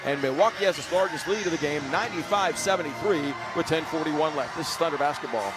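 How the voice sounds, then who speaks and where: A man working as a radio basketball announcer, giving live play-by-play in brisk, clipped phrases that lift on big plays. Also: on the high side. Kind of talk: live sports play-by-play commentary.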